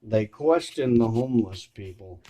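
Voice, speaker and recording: A man's voice: on the low side, lively intonation, normal speed, mellow, slight background noise, low-quality recording. A man talking casually and unscripted to viewers, rambling and hesitant, repeating and correcting himself, with some blunt language. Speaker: video creator.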